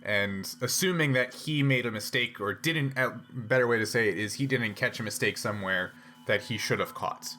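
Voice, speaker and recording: Male game master with a mid-pitched voice. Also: moderate pace, bright, forceful tone. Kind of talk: narrating a tabletop role-playing game, improvised.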